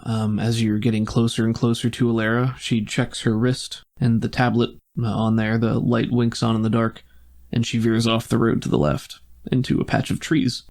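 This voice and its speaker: Male game master, creaky voice at middle pitch, improvised descriptive scene-setting for a tabletop RPG. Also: a little mellow.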